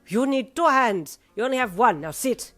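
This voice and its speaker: A woman's voice: medium pitch, expressive, a little slow, darkish tone, husky, faint music behind. A woman voicing a grumpy, sour old lady with a thick foreign accent and blunt, bossy manner in a narrated story. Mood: gruff, bossy. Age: older.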